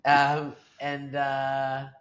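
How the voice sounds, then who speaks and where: A man's voice: mid-range voice, completely flat delivery, drawn-out words, a bit bright. A man, a painter guesting on a podcast, talking casually and rambling with many fillers. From Australia. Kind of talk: casual interview talk.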